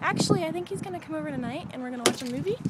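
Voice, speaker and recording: A woman's voice: sits high, expressive, racing. A woman in her twenties chatting casually to a handheld camera, rambling and giggly. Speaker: vlogger. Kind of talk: casual vlog chat. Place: car, then home kitchen. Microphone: handheld camera at arm's length. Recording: noisy handheld camera audio.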